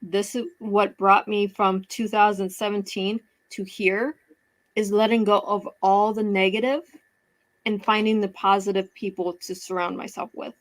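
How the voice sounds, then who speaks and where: A woman's voice: medium pitch, level tone, a little slow. Candid woman sharing her story. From United States. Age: 30s. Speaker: guest. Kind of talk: personal interview.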